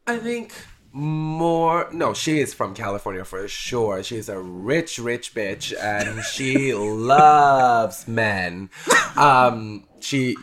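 A man's voice: mid-range voice, expressive, drawn-out words, a crowd in the background. Camp, laughing man in casual chat. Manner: camp, bawdy, laughing often. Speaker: drag performer interviewed.

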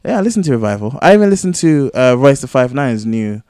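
A man co-hosting a podcast in casual conversation, rambling and getting animated as he argues his points. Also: mid-range voice, quick pace, no room sound, studio quality. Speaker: podcast co-host. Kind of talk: casual chat.

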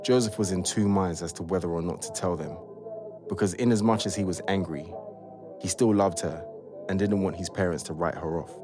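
A man's voice: sits low, a little fast, music in the background, lightly acted. A man performing as the composed narrator of an audio drama, telling the story from a script.

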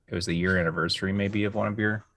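A man's voice: low-pitched, steady tone, racing, fairly bright tone, dry recording, studio quality. A man hosting a podcast in casual chat, talking in a hesitant, rambling way. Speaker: podcast host.

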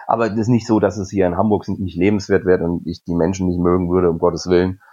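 Husky, joking man from Hesse. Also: low voice, level tone, fast talker, warm tone, highs cut off. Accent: Hessian German. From Germany, Hesse. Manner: joking, rambling, with fillers. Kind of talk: casual podcast chat.

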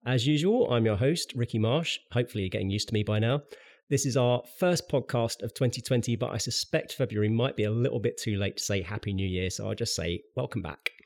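A man's voice: lowish voice, brisk, creaky, darkish tone. A man hosting a podcast, reading a scripted pensions news update with dry, wry asides, sounding a little bunged up from a cold. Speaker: podcast host.

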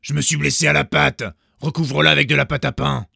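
A man voicing a cunning hungry wolf who fakes a gentle voice. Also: on the high side, flat delivery, a little fast, creaky, breathy edge, no echo, clean studio sound, voice pushed up, exaggerated voice. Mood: bossy. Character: sly hungry male wolf in disguise.

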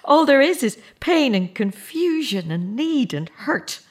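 An actress playing a guilt-racked, middle-aged grieving mother in an audio drama, anguished, halting and hard on herself. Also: sits high, big pitch swings, heavily acted. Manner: anguished, self-accusing, halting.

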